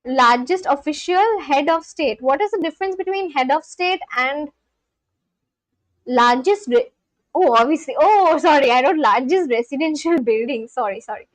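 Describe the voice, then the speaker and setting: A young woman from India speaks in a Delhi-accented English, her voice sitting high and bright in pitch. She talks casually and chattily to her livestream audience, reading their comments aloud and tossing in quick apologies. The sound is dry, with no room echo. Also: animated. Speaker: live streamer. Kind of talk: casual livestream chat with viewers.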